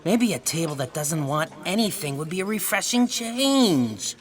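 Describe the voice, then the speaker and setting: A performer playing a jaded restaurant server in sci-fi audio theatre, drawling and deadpan. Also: medium pitch, moderate pace, close to an everyday voice. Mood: jaded, bored.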